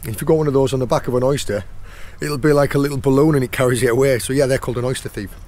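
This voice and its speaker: A middle-aged man with a hoarse, croaky voice, chatting casually outdoors on a rocky shore as he guides viewers through foraging. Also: mid-range voice, fairly even intonation, rapid-fire, a little mellow. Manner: chatty, points things out as he goes. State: hoarse, croaky sore throat. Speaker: channel host and foraging guide.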